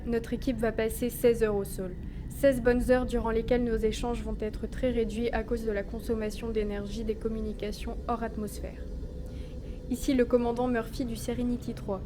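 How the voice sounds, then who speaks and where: Clear noise behind, young woman acting a female starship commander, higher pitch, procedural, official, sci-fi audio drama. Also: lightly acted. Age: young adult. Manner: measured, official, reciting mission steps. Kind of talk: scripted radio-log narration in sci-fi audio drama. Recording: clearly noisy, ship ambience behind.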